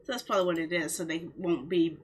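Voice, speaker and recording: A woman chatting casually on a livestream in a rambling way, with a husky voice. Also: lowish voice, steady tone, fast talker, a little hiss or hum. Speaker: live streamer.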